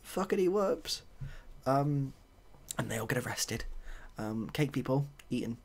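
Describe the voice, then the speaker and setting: A man with a soft, husky voice makes up a story as he goes. He rambles hesitantly, with dry, self-deprecating humour. His pitch sits in the middle for a man. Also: fairly even intonation, measured pace. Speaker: ASMR video host. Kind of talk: soft-spoken improvised storytelling.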